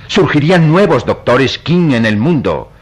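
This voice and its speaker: An actor playing a grave, compassionate priest in a radio drama, speaking in solemn, oratorical phrases. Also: male voice, medium pitch, very animated, a little slow, husky, poor audio. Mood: fervent, grave. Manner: solemn, oratorical, emphatic delivery. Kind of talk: melodramatic Latin American radio drama acting.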